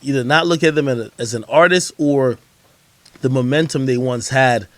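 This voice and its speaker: A man's voice: mid-range voice, moderate pace, a bit bright, no room sound. A man streaming hip-hop commentary, talking in loose, profane slang and often repeating phrases as he answers viewers. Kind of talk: casual livestream chat answering viewer questions.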